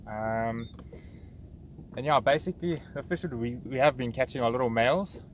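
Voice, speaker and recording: South African fishing guide on a boat. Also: male voice, mid-range voice, normal speed. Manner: relaxed, hesitant with fillers. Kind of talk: casual explaining on a boat. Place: outdoors on a bass boat on a lake. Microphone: action camera on the boat. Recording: outdoor boat recording with noise.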